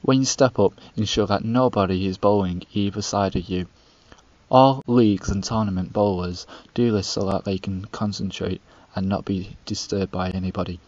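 A man's voice: sits low, fairly even intonation, normal speed, a little mellow, no room sound, dull audio. Young man, stiff dry voice-over. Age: young adult. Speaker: student documentary narrator. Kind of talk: scripted voice-over narration.

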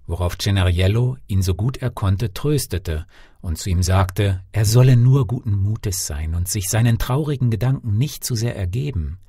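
A man's voice: fairly low pitch, expressive, normal speed, dark tone, slightly rough, dry recording, clean studio sound. A man reading a fairy tale as an audiobook narrator, theatrical and carefully articulated in his delivery.

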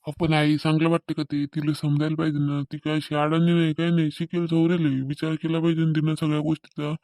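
A man's voice: fairly high pitch, completely flat delivery, fast, warm tone, no echo, close to an everyday voice. A man voicing an earnest adult son and husband torn between family in a cartoon comedy, reasoning and reproachful. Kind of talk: animated family comedy acting.

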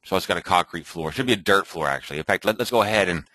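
A man thinking aloud and muttering to himself as he clicks through an off-the-cuff software tutorial at his computer. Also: low voice, animated, rapid-fire, dry recording. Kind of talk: off-the-cuff screen recording tutorial.

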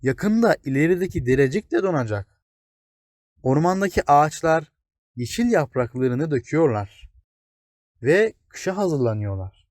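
A man's voice: on the high side, big pitch swings, normal speed, long pauses, a little mellow, dry recording, studio quality. A man voicing an old, wise, towering plane tree in a children's storybook, kindly but grave, explaining things patiently. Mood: grave, pitying. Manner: explains patiently, exclaims and addresses small listeners. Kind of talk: children's storybook character reading.

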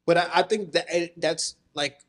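A young man co-hosting a podcast in a dark studio, rambling and swearing freely, speaking into a boom-arm mic. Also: moderate pace. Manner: rambling, restarts, swears often. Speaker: podcast co-host. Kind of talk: casual podcast chat.

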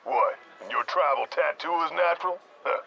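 Cocky game gangster, croaky n higher pitched, muffled sound. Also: male voice, moderate pace, sharp tone, soft background music. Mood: mocking. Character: cocky mocking gangster henchman, loyal to his boss. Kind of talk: video game voice acting.

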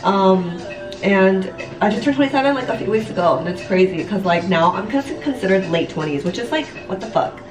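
A woman's voice: mid-range voice, animated, brisk, music in the background. A woman in her late twenties chatting candidly and casually to her viewers, rambling a little as she vlogs. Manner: candid, rambling, frequent "like" and "you know", some swearing. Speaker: vlogger and online business coach. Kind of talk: casual confessional chat to viewers while doing makeup. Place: bathroom at home. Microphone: camera a step or two away. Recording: echoey room, distant camera mic.

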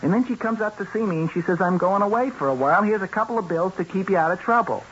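An actor playing a shifty, evasive small-time lowlife with slangy, hesitant talk, in 1970s radio drama style. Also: male voice, expressive, quick pace, a bit bright. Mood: chatty, animated. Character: shifty, evasive male lowlife, streetwise, grudgingly talkative. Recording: muffled, noisy old radio broadcast.

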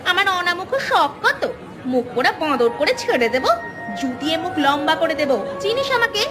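A woman voicing a sassy, sharp-tongued young village vegetable seller in a cartoon, taunting and combative. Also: very high voice, very animated, a little fast, music in the background. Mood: defiant. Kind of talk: cartoon character acting.

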